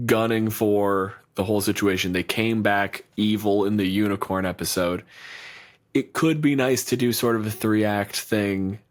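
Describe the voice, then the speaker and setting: A man co-hosting a podcast and running the game, teasing, swearing and rambling casually over a remote call. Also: fairly low pitch. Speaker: podcast co-host and game master. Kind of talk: casual banter. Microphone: remote recording over video call.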